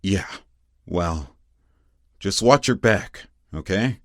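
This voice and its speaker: A man playing a concerned, fatherly newspaper editor in a comic dub, cautioning and unhurried. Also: sits low, expressive, no echo, studio quality, exaggerated voice.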